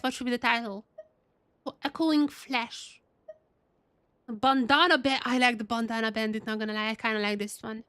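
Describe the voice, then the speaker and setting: Bright, high-voiced woman streaming a game. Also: no room sound. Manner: repeats phrases while thinking aloud. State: getting over a cold, voice wearing out. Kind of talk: casual live gameplay commentary to chat.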